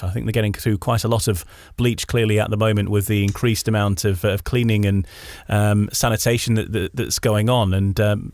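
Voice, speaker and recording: A man's voice: on the low side, no echo. A man hosting a radio interview, putting listeners' questions to his guest. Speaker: radio host.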